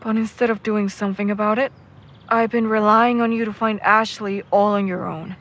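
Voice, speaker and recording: A woman playing a warm, playful, sentimental young man in a naturalistic audio drama. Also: mid-range voice, flat delivery, moderate pace, lo-fi sound, natural voice. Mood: wistful, apologetic.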